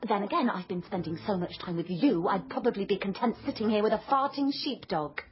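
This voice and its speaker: A woman's voice: medium pitch, expressive, fairly quick, muffled recording, a little hiss or hum, close to an everyday voice. An actress in a radio drama playing an eager, flustered young woman who is an amateur sleuth on a date, with a hesitant manner. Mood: exasperated. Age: young adult. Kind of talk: radio drama acting with first-person narration.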